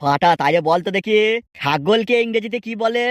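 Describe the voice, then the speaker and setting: A man's voice: high-pitched, lively intonation, brisk, dry recording, clean studio sound. An actor voicing a strict, hot-tempered male schoolteacher in a cartoon, scolding and threatening his pupils. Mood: stern. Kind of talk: cartoon voice acting.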